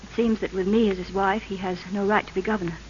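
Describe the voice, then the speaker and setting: An actress playing a politician's devoted, anxious young wife in old radio drama, earnest, affectionate and pleading. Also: female voice, mid-range voice, fairly even intonation, quick pace, a little mellow, close to an everyday voice. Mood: anxious, confiding. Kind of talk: 1930s radio acting. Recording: muffled old radio recording with hiss.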